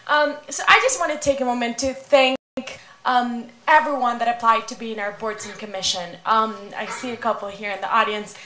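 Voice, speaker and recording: Councilwoman, slightly high voice, friendly and thankful, roomy chamber. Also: steady tone, a bit bright. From Venezuela. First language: Spanish. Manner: friendly, thankful, laughs easily, halting with ums. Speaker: city councilmember. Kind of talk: council meeting remarks.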